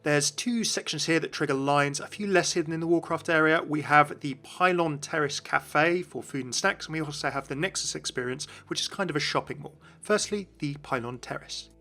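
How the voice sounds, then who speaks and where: A man's voice: fairly high pitch, brisk, few pauses, bright, forceful tone. A man narrating a video as a game commentator, explaining things in an informal way.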